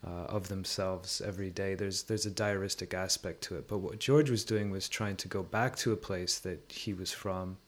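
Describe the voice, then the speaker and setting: A man speaking in a thoughtful, conversational discussion, halting and reflective as he searches for words. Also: low voice, fairly even intonation.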